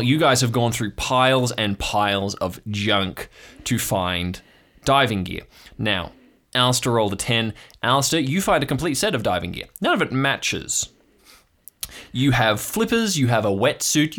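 Middle-pitched man narrating as game master. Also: a bit bright. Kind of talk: casual improvised scene narration for a tabletop-style audio drama.

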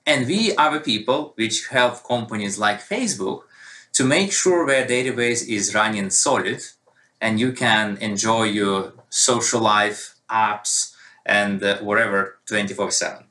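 A man's voice: fairly low pitch, unhurried. A man from Russia with a Russian accent, a database expert telling stories in an interview and acting out quoted dialogue. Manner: animated storytelling with quoted dialogue. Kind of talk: conversational interview answer.

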